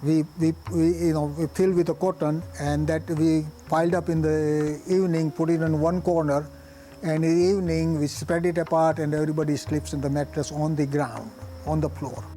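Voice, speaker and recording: An older man from Gujarat in India, a native Gujarati speaker with a Gujarati Indian accent, recalling his life in plain, halting speech with pauses and restarts. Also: a little high, steady tone, mellow, faint music behind. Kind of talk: oral history reminiscence.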